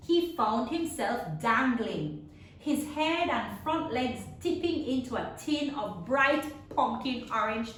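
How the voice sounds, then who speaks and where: A woman with a high voice reads a children's story in a very reverberant room, some distance from the microphone. Her delivery is dramatic and expressive, made for young listeners. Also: slight background noise. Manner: expressive, dramatic, with drawn-out sound effects and questions to listeners. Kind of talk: animated read-aloud storytelling for young children. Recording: very echoey room, distant mic.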